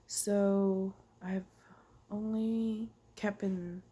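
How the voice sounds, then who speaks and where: A woman in her thirties, from Taiwan, who has a cold, talking slowly and haltingly in a casual personal monologue, slipping now and then into a whisper. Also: fairly low pitch, warm tone, husky. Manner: halting, many ums and restarts, drifting into whispers. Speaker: vlogger.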